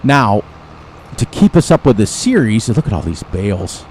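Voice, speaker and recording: A man's voice: lowish voice, big pitch swings, normal speed, darkish tone, slight background noise. Rambling, chuckling man. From United States. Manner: rambling, chatty, chuckles often. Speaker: gaming channel host. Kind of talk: casual gameplay commentary.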